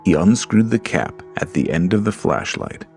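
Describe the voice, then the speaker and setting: A man narrating a horror story in a slow, deliberate storytelling manner. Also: low-pitched, very animated, vocal fry, a little mellow. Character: Lars, a frightened man alone in a dark house. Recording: music under the voice.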